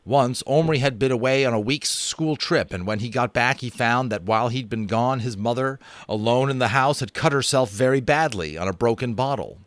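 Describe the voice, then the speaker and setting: An American man narrating a children's novel aloud in a dramatic, animated way, with chatty, self-amused asides. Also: medium pitch, moderate pace, few pauses, no echo. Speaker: audiobook reader and host. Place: home room.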